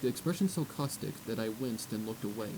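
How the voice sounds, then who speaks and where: A man's voice: fairly low pitch, animated, fast talker, background noise. A man reading a story aloud as an audiobook narrator, in a scripted narration style.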